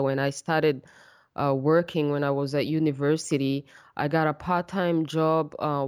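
A woman with a Rwandan accent telling her personal story as an interview guest, in a halting, thoughtful way as she searches for words. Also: deep voice, clean tone, dry recording, clean studio sound.

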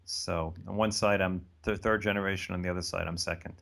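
An American man around seventy, answering interview questions in a relaxed, thoughtful way that wanders and restarts. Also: sits low, fairly quick, vocal fry. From United States. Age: about 70. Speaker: interview guest. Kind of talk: casual conversational interview.

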